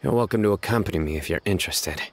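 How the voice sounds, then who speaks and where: A man's voice: deep voice, rapid-fire, noisy background. An actor playing a stern, composed lawman in a video game, speaking seriously and with control. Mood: serious, calm. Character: stern, composed young male lawman of few smiles.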